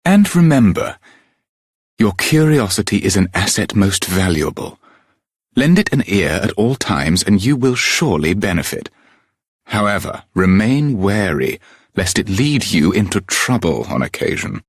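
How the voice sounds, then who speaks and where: A courtly adviser from a fantasy game. He is formal and courteous, in a lowish voice that rises and falls expressively, recorded close and dry. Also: very animated, unhurried, slightly rough, dry recording, heavily acted. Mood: earnest guidance. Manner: formal, courteous, archaic phrasing. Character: courtly, formal male royal adviser giving guidance. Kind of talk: video game voice acting.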